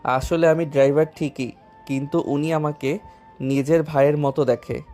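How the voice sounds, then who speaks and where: A man playing a calm, fearless young student hero in an audio story, with a composed, defiant manner. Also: a little high, normal speed, soft background music, lightly acted. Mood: evasive, polite. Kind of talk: dramatized audio story acting.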